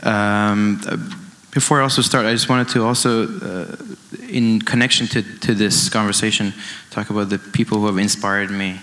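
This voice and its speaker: A man in his late twenties from Iran giving an informal, reflective artist talk, halting and circling back over his phrases. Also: on the low side, steady tone, a bit bright. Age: late 20s. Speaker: dance artist, panelist. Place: indoor event stage, amplified.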